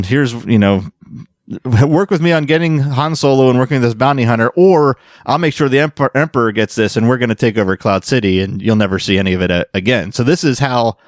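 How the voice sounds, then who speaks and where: A man's voice: mid-range voice, no echo, studio quality. Casual man telling a story. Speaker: podcast host. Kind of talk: casual storytelling on a fan podcast.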